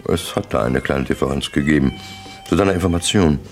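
An actor playing a ruthless, businesslike crime syndicate boss in a 1960s German radio play, imperious and lecturing in clipped, matter-of-fact statements. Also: male voice, a bass voice, fairly quick, faint music behind. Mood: cynical, lecturing.

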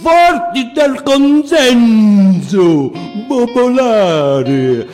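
An actor playing a cynical, ruthless elder statesman in a theatrical monologue, deliberate, with pauses and muttered hums. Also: extremely high pitch, lively intonation, a little mellow, full character voice. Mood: coldly menacing. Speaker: stage actor. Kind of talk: theatrical monologue over live guitar. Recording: long reverb, guitar music behind.

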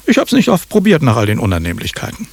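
An actor in a radio comedy playing an irritable, overworked small-time magician, raspy and gravelly, grumbling theatrically. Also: male voice, medium pitch, wildly swooping pitch, fast, no echo, exaggerated voice. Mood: resigned, obliging. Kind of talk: radio comedy drama acting.

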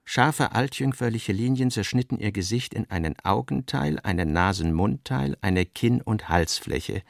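A man narrates a literary radio production. His voice sits low, with a gravelly creak running through it. He reads scripted prose in a dry, close studio sound. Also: normal speed, no room sound.

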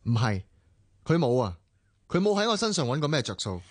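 A man's voice: mid-range voice, sing-song, fairly quick, in a large hall, close to an everyday voice. An actor playing a stubborn young man who defends his older lover, sullen and clipped, with a Hong Kong Cantonese accent. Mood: defensive. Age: young adult. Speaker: young IT worker in love. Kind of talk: 1990s radio drama acting.

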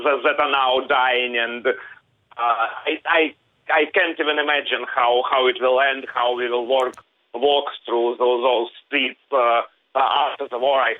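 A man from Ukraine with a Ukrainian accent, a native Russian speaker, giving a halting eyewitness account and searching for words in a live interview over the phone. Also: mid-range voice, no room sound. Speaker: interviewee, city resident. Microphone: telephone. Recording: thin, tinny phone line.

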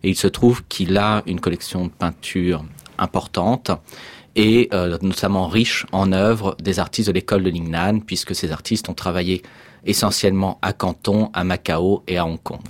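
A man who curates an exhibition, a guest in a radio studio, explaining things in a calm, measured way. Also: low-pitched, no echo. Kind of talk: radio interview.

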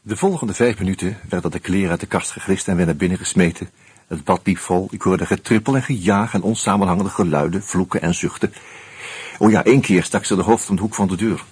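An actor playing a wry first-person narrator in a Dutch radio drama, telling the story in a dry, measured way. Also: male voice, low-pitched, a little mellow, husky, dry recording. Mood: wry, matter-of-fact.

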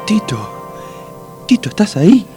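Radio comedy patient: groggy, naive, earnest, Argentine, fairly high male voice, lively melody, dry close sound. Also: slow, faint music behind, heavily acted. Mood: dazed. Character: dazed, simple-hearted male hospital patient coming round. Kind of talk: comic radio theatre acting.

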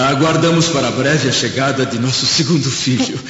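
A man's voice: mid-range voice, moderate pace, fairly bright tone, slight background noise, lightly acted. A man acting in a radio drama as an earnest, troubled Roman senator, speaking in a solemn, theatrical way. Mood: gentle, pleased. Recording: echoey hall reverb, dull audio.

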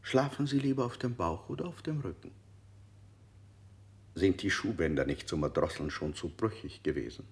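A man's voice: low voice, expressive, moderate pace. An actor playing a cold male interrogator in a radio drama, firing unsettling questions with sudden leaps in his voice. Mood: probing.

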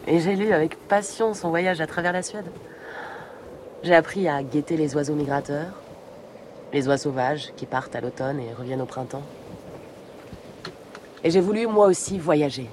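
An actress playing a wistful, encouraging polar researcher in a radio drama, speaking in reflective, short phrases. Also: female voice, deep voice, normal speed, long pauses, darkish tone, a little hiss or hum, close to an everyday voice. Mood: wistful, reminiscing. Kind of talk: naturalistic French radio drama acting.

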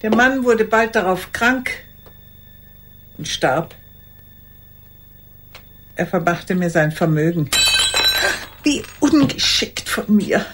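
An actress playing an old retired schoolteacher who speaks formally and deliberately in a confessional radio play monologue. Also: female voice, medium pitch, animated, noisy background. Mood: flustered, reflective. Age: older. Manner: formal, deliberate, addressing a silent listener.

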